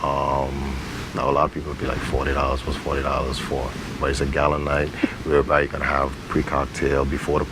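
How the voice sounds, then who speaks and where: Male guest, very low gravelly voice, casual interview talk over clear background noise. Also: a little fast. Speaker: stage play director being interviewed. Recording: steady background hiss and rumble.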